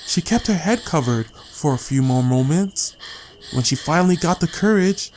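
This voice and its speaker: A man's voice: a little high, lively intonation, normal speed, a little mellow, a little hiss or hum, low-quality recording. A man reading a scripted short story as a dramatic audiobook narrator. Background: music.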